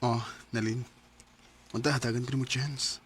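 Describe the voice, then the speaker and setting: An actor playing an eager, emotive young boyfriend in a radio drama, with a Cebuano accent. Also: male voice, mid-range voice, steady tone, normal speed, fairly bright tone, a little hiss or hum, lightly acted. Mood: wooing. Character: Roy, eager young boyfriend, affectionate son and brother.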